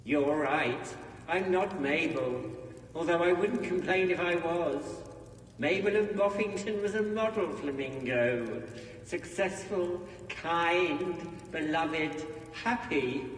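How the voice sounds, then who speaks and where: A man's voice: a little high, slow. A man voicing a mysterious spirit in a game, wry and theatrical with grand politeness, in a distant echoing recording. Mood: wry, reflective. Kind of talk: scripted game dialogue. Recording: echoing hall reverb, distant, faint noise.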